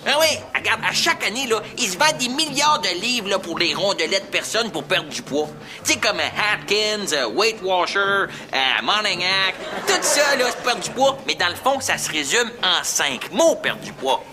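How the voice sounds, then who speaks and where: A man's voice: high-pitched, big pitch swings, moderate pace, noisy background. An actor playing a boastful, dim, enthusiastic self-styled guru, a man with a Quebec French accent who rambles cockily. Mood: cocky. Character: boastful, dim, excitable negative-thinking guru.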